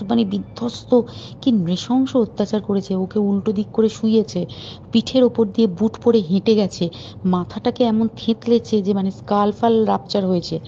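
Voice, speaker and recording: A woman's voice: mid-range voice, dark tone, slight background noise. A woman giving an emphatic, indignant, informal account as a caller on a recorded phone call.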